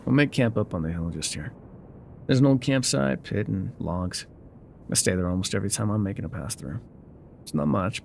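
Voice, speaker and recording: An actor playing a gruff, guarded male trail guide in an immersive audio roleplay, with wry, folksy, laconic phrasing. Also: fairly low pitch, a little mellow, slight background noise. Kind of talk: immersive ASMR roleplay acting, talking to the listener.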